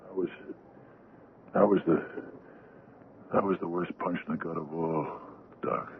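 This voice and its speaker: An actor playing a dying, lovesick ship's captain in a vintage radio drama, gruff and weak, speaking in halting, faltering phrases. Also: male voice, low voice, fairly even intonation. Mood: wounded. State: weak, labouring for breath. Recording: muffled, slightly noisy old radio broadcast.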